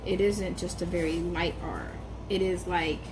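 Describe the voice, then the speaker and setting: A woman's voice: lowish voice, steady tone, measured pace. A young woman in a casual personal vlog, talking in a rambling, hesitant way with many ums and restarts and with strongly stressed R sounds. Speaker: vlogger. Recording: background noise.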